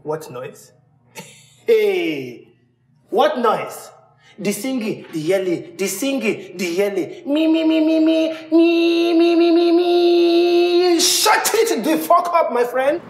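A young man with a Nigerian accent, playing a loud, mocking bar patron who picks fights. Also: very high voice, animated, takes it slowly, faint music behind, exaggerated voice. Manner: mocking, combative, swinging from shouts to mutters. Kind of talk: screen acting.

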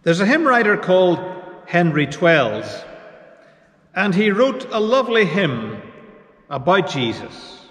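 Male pastor, high voice, slow deliberate sermon delivery, long church reverb. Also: very animated, a bit bright. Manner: slow, measured preaching with pauses. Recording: echoey church hall reverb.

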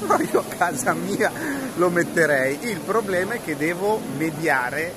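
A man's voice: fairly high pitch, measured pace. A man, chatting casually and animatedly to camera as a tech vlogger, recorded on a handheld phone. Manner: animated, joking, conversational. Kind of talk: casual chat to camera while demonstrating products. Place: large, busy trade fair hall. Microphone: handheld phone at arm's length. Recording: busy fair crowd noise behind.

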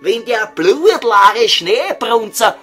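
A man's voice: extremely high pitch, very animated, slow, sharp tone, breathy edge, faint music behind, full character voice. A man playing a cheeky, foul-mouthed estate agent in a comedy audio sketch, talking colloquially with a Viennese accent. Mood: grumbling. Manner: crude, wheedling, colloquial.

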